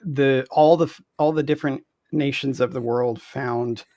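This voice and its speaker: A man in his thirties, a guest game designer, explaining haltingly on a video call with many "like"s and "um"s. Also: medium pitch, steady tone, dark tone, no room sound. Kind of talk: explaining in casual interview. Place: indoor room, on a video call.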